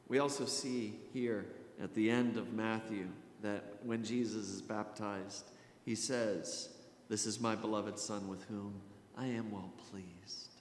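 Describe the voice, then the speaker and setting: Slow, low-voiced American preacher in echoey church. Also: male voice, fairly even intonation. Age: middle-aged. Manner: emphatic, dramatic pauses and repetition, often dropping to a hush. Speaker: Lutheran pastor. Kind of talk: sermon. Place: church sanctuary at a pulpit. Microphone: gooseneck pulpit microphone. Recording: echoey church hall with background hiss.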